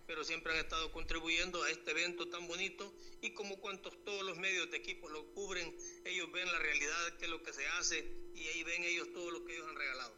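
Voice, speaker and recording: A man's voice: on the high side, sharp tone. A man, a teacher organizing a children's event, speaking earnestly with religious thanks. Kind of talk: radio interview.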